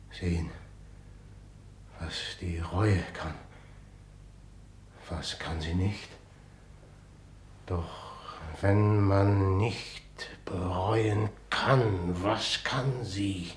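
Guilty tragic king, commanding, low slow voice, roomy hissy old radio play. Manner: slow, declamatory, heavy delivery. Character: guilt-ridden usurper king, tormented and commanding. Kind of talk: classical stage tragedy radio acting.

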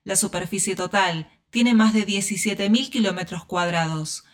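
A woman reading scripted documentary narration in an even, informative way. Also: mid-range voice, moderate pace.

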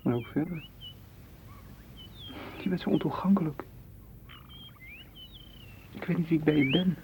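A man's voice: fairly high pitch, a little slow. A man playing a frustrated, hurt lover, intense and reproachful, in a radio play. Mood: hesitant, hurt. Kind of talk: Dutch radio play acting. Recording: dull, faintly noisy old radio recording.

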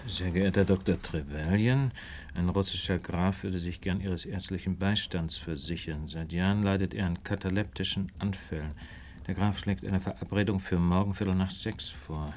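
Actor as deep-voiced, composed, probing investigator. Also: male voice, moderate pace, slight background noise, natural voice. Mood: reading aloud. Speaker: actor in a radio drama. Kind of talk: German radio play acting.

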